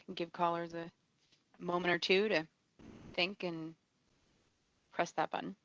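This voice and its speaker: Woman, polite call moderator, slow delivery, dull lo-fi call sound. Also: on the low side, little pitch movement, a bit bright. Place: remote conference call. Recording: dull, dry call audio.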